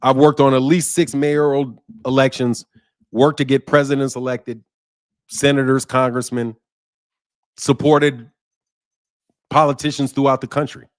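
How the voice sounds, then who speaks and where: A man's voice: mid-range voice, level tone, a little slow, long pauses. A man in his mid-fifties hosting a boxing channel, talking bluntly and heatedly to his viewers and laughing often. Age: 50s. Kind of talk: live commentary to viewers.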